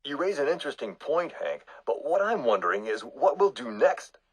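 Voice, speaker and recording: An actor playing a brisk TV news anchor steering a debate, in broadcast delivery. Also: male voice, fairly high pitch, very animated, breathy edge, no room sound. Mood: brisk, professional.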